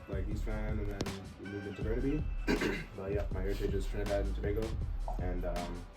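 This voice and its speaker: Workshop participant, man from Canada, low and flat, hesitant, echoey and distant with music. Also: brisk. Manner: hesitant, with fillers. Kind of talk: casual introduction.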